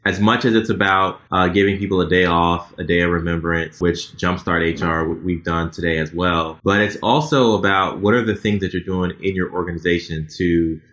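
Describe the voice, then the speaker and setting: A man's voice: low-pitched, fairly even intonation, moderate pace, few pauses, fairly bright tone. A man in his thirties, an HR entrepreneur and podcast host, answering interview questions in an earnest, reflective way. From Texas, USA. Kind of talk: conversational interview.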